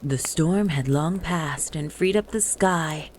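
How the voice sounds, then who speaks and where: A woman narrating a rhymed Christmas story in verse with a measured, lilting delivery. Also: low-pitched, darkish tone, slight background noise. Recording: music bed under the voice. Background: music.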